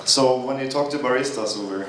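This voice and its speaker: A man's voice: medium pitch, a little fast. A man moderating a conference panel, talking informally and loosely with frequent fillers. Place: conference hall stage. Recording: echoey hall, from far off, with clear background noise.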